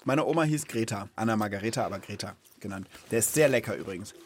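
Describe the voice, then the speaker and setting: Conversational podcast host with a middling pitch. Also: animated, quick pace, breathy edge. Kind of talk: casual, reflective chat for a children's podcast.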